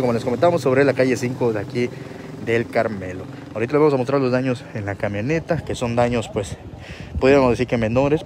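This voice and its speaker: A man gives a live on-scene news report from a street corner, his voice in an ordinary middle register. He speaks loosely, padding his sentences with fillers. Faint traffic and street noise sit behind him. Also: mid-range voice. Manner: informal, many filler "pues" words. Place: street intersection outdoors. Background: street and traffic sounds.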